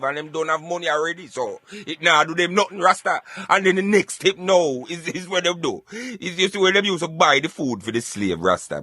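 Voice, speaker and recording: A man's voice: a little high, pushed and bright, no echo. A man with a Jamaican accent hosting a talk show in Patois, giving emphatic, repetitive commentary peppered with catchphrases. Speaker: radio show host.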